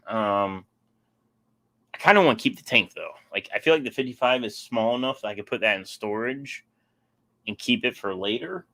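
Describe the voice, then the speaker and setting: Guy rambling on his live stream, kinda low but bright sharp voice, throat getting sore. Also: moderate pace, long pauses. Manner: rambling, reads out and answers chat comments. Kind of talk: casual live stream chat.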